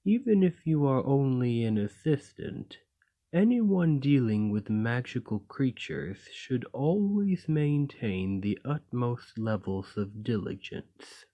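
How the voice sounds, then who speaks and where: A man voicing a gentle, lonely golem in an ASMR roleplay, speaking in a formal, measured and courteous way. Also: mid-range voice, sing-song, exaggerated voice. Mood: measured, coaxing.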